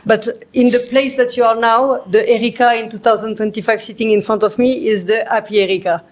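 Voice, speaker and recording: A woman with a French accent hosting an interview in casual English, encouraging and halting, with many fillers and restarts. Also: on the high side, steady tone. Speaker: show host.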